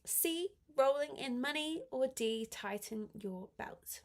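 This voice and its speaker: A woman with a fairly high voice that carries a slight cold. She teaches a live online language class, reading exercise items out step by step and chatting to learners with plenty of encouragement. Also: expressive, slow pace. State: slight head cold affecting the voice.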